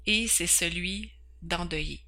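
A woman's voice: fairly low pitch, takes it slowly, bright, forceful tone. A woman in her forties from Quebec with a Québécois French accent, hosting a podcast and introducing herself in an earnest, candid way, stumbling over words now and then. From Quebec, Canada. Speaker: podcast host. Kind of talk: personal introduction.

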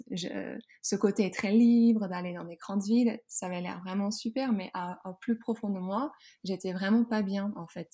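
A Dutch woman speaking French as a non-native, her accent clear throughout. Her tone is warm and mellow, at a middle pitch. She talks haltingly, with frequent fillers and restarts. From Netherlands. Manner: hesitant, many fillers and restarts. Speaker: guest. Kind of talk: podcast interview, personal story.

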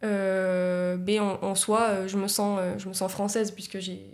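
A woman's voice: medium pitch, flat delivery, measured pace, a little mellow. A young woman from Nice, a guest in a podcast interview, speaking hesitantly with many restarts. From Nice, France. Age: young adult. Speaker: guest, agency co-founder.

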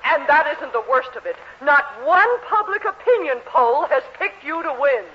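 A domineering middle-aged matron in a 1940s radio comedy. Her emphatic, imperious delivery swings widely in pitch. The recording is muffled, with light noise. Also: animated, moderate pace. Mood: threatening. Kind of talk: 1940s radio sitcom acting.

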